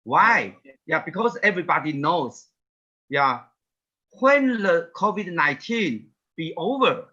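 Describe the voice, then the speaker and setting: Bright-voiced man, halting and slow. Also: a little high, very animated, breathy edge. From Taiwan. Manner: halting, many yeah fillers and restarts. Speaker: guest presenter. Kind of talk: webinar presentation. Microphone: video call. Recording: muffled lo-fi video call.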